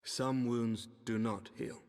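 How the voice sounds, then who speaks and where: Fantasy game noble son, proud knight, mid-pitched, formal and intense, long reverb. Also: male voice, fairly even intonation, slow pace, a little mellow. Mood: sombre. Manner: formal, intense, archaic speech. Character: proud, earnest adult son, a noble knight challenging his father. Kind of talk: dramatic fantasy game cutscene acting.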